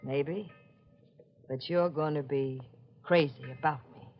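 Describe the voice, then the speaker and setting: An actress in a 1940s radio thriller playing a ruthless, greedy murderess with a hard-boiled, taunting manner, on a dull old recording. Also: female voice, deep voice, fairly even intonation, slow, soft background music. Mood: gloating, menacing. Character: ruthless greedy murderous woman treasure hunter, scornful and bossy.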